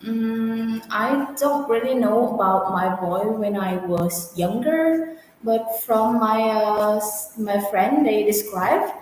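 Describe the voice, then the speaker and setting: A woman answering practice speaking-test questions in halting sentences with many fillers. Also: a little high. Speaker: test candidate. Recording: echoey room with long reverb, faint noise.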